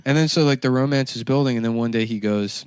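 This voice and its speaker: A man on a comedy podcast pitching a movie plot, rambling and profane, jumping into quick character voices. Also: darkish tone, clear, resonant tone, dry recording. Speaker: podcast co-host.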